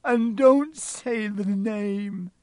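A man voicing a huge, bearded, soft-hearted man in a storybook, with a booming, tearful voice and blunt rustic speech. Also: expressive, unhurried, husky, no echo, heavily acted. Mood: sobbing, remorseful.

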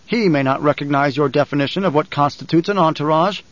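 A man's voice: a little high, fast, no echo, lo-fi sound, lightly acted. A man voicing a cold, defiant young nobleman in an audiobook, speaking in a controlled, declamatory way. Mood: challenging.

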